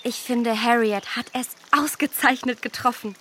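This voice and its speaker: An actress playing a spirited, self-assured young gentlewoman in a period radio play, expressive and emphatic. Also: fairly high pitch, moderate pace, slight background noise. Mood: astonished, emphatic. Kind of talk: German radio play acting of period novel.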